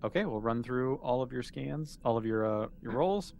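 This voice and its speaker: A man's voice: medium pitch, lively intonation, moderate pace, creaky, darkish tone. Male game master, middle register, easygoing informal game running, some faint background noise. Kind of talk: casual game mastering, calling for rolls.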